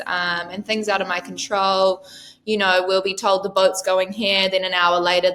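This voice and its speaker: Bright-voiced chatty woman from New Zealand. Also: mid-range voice. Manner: chatty, frequent "um" and "like". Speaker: interview guest, yacht crew member. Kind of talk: casual interview answers.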